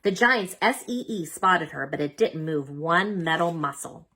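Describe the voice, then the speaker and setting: Animated, bright-voiced woman reading a storybook. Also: on the low side, unhurried. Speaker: storybook reader. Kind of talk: expressive children's read-aloud narration.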